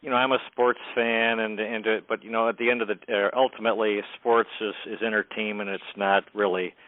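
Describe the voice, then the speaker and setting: A man hosting a sports history podcast, speaking haltingly as he searches for his words. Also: on the low side, flat delivery. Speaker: podcast host. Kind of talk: casual interview talk. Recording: dry, tinny phone-like line.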